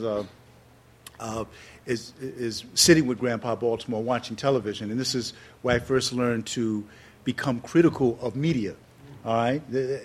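Animated storytelling man in his sixties. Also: medium pitch. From New York City. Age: 60s. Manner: animated storyteller, laughs often, drops into slower reflective passages. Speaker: interview guest and author. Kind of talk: storytelling before an audience.

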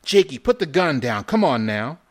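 A man voicing a soldier who urgently pleads to calm his armed friend. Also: medium pitch, moderate pace. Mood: soothing, urgent.

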